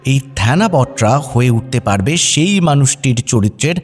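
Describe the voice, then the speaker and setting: A man narrating a scripted radio story in a wry, expressive way. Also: mid-range voice, moderate pace, husky, faint music behind.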